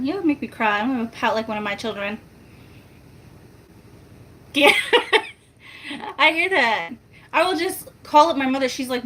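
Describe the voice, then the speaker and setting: A soft-spoken woman, chatting casually and laughing with her viewers in a rambling, self-mocking way. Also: a little hiss or hum, poor audio. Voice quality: soft-spoken, high-pitched. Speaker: family vlogger.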